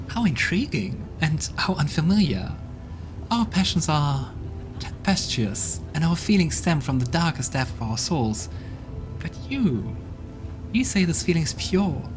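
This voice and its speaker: A man's voice: fairly high pitch, sing-song, measured pace, voice pushed up. A man voicing a curious, playful female demon in a game playthrough, with music in the background. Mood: fascinated.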